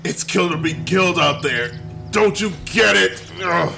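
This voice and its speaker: An actor playing a hostile, dying male killer who snarls slow insults, in an audio drama. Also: high-pitched, creaky, bright, forceful tone, full character voice. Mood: snarling. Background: music.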